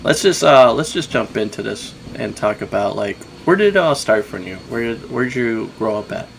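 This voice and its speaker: A man's voice: medium pitch, animated, normal speed, creaky. A young adult man hosting a podcast, asking laid-back questions filled with fillers like "like.". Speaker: podcast host. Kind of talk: casual interview. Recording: faint background noise.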